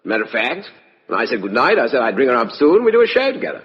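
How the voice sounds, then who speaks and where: A man's voice: mid-range voice, huge pitch swings, quick pace. An actor playing a breezy, evasive British ex-army major and con man, with clipped upper-class slang, in a 1950s radio drama. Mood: defensive, evasive. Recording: muffled, reverberant vintage radio recording.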